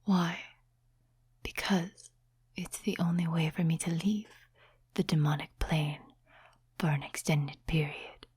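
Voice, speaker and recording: A woman performs a seductive female demon in an ASMR roleplay, whispering very slowly with heavy breath in the voice. She is sly and condescending, coaxing a mortal with deliberate, teasing words. The voice sits a little low and sounds close and dry. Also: darkish tone, slightly rough, dry recording, heavily acted. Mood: coaxing, persuasive.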